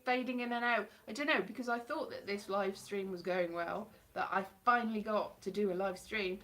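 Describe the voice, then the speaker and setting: A woman's voice: medium pitch, moderate pace, a bit bright. A woman in her thirties chatting casually on a live stream from her hallway at home, rambling and mocking herself with playful sarcasm. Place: home hallway by a staircase, indoors. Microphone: webcam or phone at close range.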